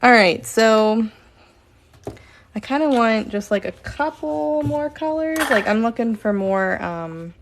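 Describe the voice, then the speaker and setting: A woman casually chatting during a live stream while she paints, rambling with lots of fillers and sometimes talking softly. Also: on the high side, takes it slowly, a little hiss or hum. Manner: says she is relaxed, talks softly at times.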